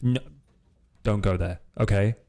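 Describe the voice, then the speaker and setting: A man's voice: on the low side, a little slow, dark tone, lightly acted. A man playing a guarded, self-assured vampire hacker in improvised role-play, understated and stubborn, with hesitant phrasing. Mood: defensive.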